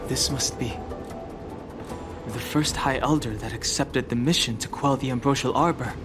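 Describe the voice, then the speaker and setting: A man playing a calm, reserved guide in a game cutscene, solemn and terse as he recites lore. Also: medium pitch, normal speed, over music, natural voice. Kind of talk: video game cutscene acting.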